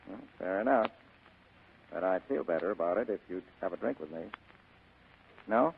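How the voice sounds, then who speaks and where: A man's voice: deep voice, expressive, moderate pace, gravelly, a little hiss or hum. A man playing a wry, tough, cynical private detective in a 1940s radio drama, slangy and sardonic. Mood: wry, weary. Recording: narrow, tinny old radio broadcast.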